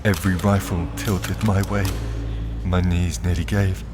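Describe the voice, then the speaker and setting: Deep, slow, brooding male narrator. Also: little pitch movement, over music. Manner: brooding, deliberate, dramatic pauses. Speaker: narrator and performer. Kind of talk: dark first-person scripted drama narration. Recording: clear ambient noise behind the voice.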